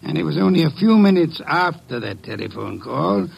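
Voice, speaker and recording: Shrewd gentleman detective, heavy gravel, swooping intonation, grandly formal, old radio drama. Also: fairly high pitch, big pitch swings, highs cut off, heavily acted. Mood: coolly accusing. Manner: formal, elaborate, deliberate phrasing. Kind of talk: 1950s radio drama acting.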